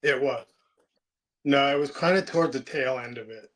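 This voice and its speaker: Relaxed chatty man in his fifties. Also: mid-range voice, moderate pace. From United States. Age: 50s. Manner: relaxed, chatty storyteller with frequent ums and restarts. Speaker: interview guest. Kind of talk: casual interview chat.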